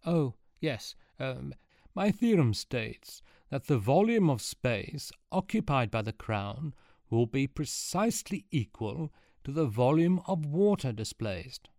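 A man's voice: mid-range voice, very animated, gravelly, mellow, no room sound, studio quality, voice pushed up, exaggerated voice. A man voicing an old male sage who speaks grandly and at length. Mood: explaining. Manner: solemn, drawn-out.

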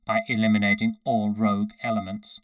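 A man's voice: on the low side, flat delivery, a little slow, mellow, dry recording, close to an everyday voice. A man voicing an obedient, emotionless cyborg centurion in a story, with a metallic, synthesized, toneless, clipped delivery. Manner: toneless, clipped, matter-of-fact.

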